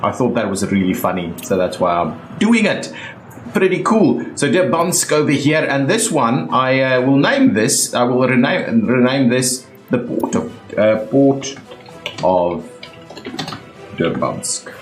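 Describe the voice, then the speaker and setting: A man's voice: mid-range voice, very animated, unhurried, reverberant. South African man chatting through a game. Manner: chatty, thinking aloud with many fillers. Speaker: gaming YouTuber. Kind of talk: casual game commentary.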